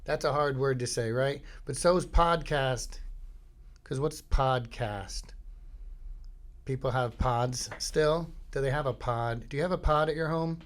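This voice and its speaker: A man's voice: medium pitch, a little slow. A middle-aged man from Florida hosting his own podcast at home, talking in a rambling, jokey way and breaking into silly bits. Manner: jokey, self-interrupting, breaks into goofy sung bits and impressions. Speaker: podcast host. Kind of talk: rambling comedic monologue. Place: home studio.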